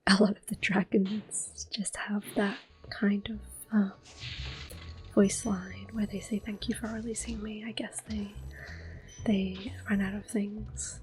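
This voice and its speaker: A woman giving gentle, soft-spoken ASMR gameplay commentary in a breathy whisper. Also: mid-range voice, level tone, low-quality recording. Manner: gentle, unhurried. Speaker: gameplay host. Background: soft game music.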